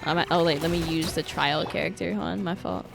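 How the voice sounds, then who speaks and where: A woman's voice: deep voice, fairly even intonation, brisk, gravelly, over music. A young woman giving casual commentary while playing a game, joking, swearing freely and laughing often. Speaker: gaming streamer. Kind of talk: casual let's-play commentary.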